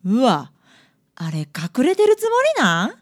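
A woman's voice: medium pitch, huge pitch swings, unhurried. A woman with a Kansai accent playing a sensible young detective's assistant in a community radio drama, talking in a wry, teasing way. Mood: curious.

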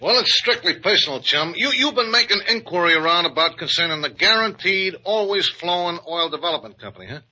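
A man's voice: high-pitched, lively intonation, fairly quick, hardly any pauses, lo-fi sound, exaggerated voice. An actor playing a menacing, uneducated thug in a 1950s radio comedy-drama, with slangy, bullying tough-guy talk. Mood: sly menace. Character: menacing uneducated male thug delivering threats for his boss. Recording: bright, thin vintage radio recording, faint noise.

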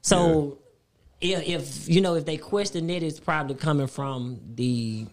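A man with a high-pitched voice, a guest on a podcast, speaking a little above the usual male pitch. He rambles through personal stories and circles back to repeat himself. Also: a little slow. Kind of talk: casual podcast chat.

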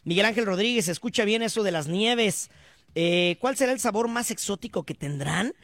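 A Mexican man hosting a sports radio show, reading listener messages in brisk, chatty, teasing banter. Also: high voice, lively intonation, fairly bright tone, dry recording. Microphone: studio microphone.

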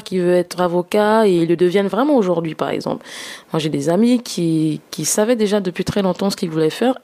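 A woman's voice: mid-range voice, mellow. A young woman from Gabon, a podcast guest, telling her career story in a hesitant way with many fillers and restarts. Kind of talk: casual personal storytelling.

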